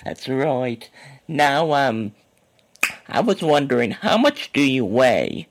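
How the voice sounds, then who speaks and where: Male performer as a crude middle-aged woman caller, gravelly and swoopy, drawls "honey". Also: mid-range voice, very animated, takes it slowly, full character voice. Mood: teasing. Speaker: prank caller. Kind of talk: prank call comedy.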